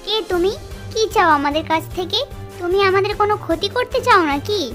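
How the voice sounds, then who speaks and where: A woman's voice: very high voice, fairly quick, a bit bright, soft background music. A woman voicing a brave, curious young schoolgirl in a children's cartoon story, with an eager, childlike delivery. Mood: bold.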